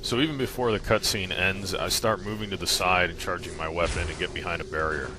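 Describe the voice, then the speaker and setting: A man's voice: sits low, fairly even intonation, brisk. A man narrating a video game walkthrough, explaining casually and matter-of-factly, step by step. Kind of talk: casual instructional game commentary. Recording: game music behind the voice. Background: game music.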